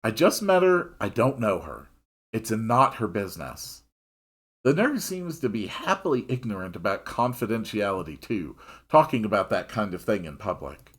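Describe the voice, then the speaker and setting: A man reading a story aloud in an expressive narrating style. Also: on the low side, normal speed, breathy edge.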